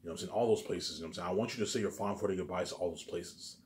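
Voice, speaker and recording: A man talks informally to his audience in a slightly low voice. He moves at a very quick clip, circling back on the same phrases and restarting them. His delivery is emphatic, rambling and peppered with swearing. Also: a little mellow. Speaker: vlogger. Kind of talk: rambling informal monologue to camera.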